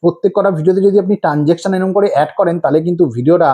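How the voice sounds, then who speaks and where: A man walks viewers through a tutorial in a high, mellow voice. He talks quickly, repeats each step and keeps asking rhetorical questions before answering them. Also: highs cut off. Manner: instructive, repetitive, prompting viewers with rhetorical questions. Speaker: tutorial host.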